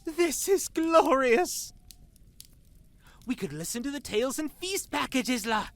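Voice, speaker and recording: A woman playing an excitable, chatty, teasing tavern friend in a fantasy audio drama, with a dramatic, gushing manner. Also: high voice, unhurried, no echo, heavily acted. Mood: excited, gushing. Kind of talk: fantasy visual novel audio drama acting.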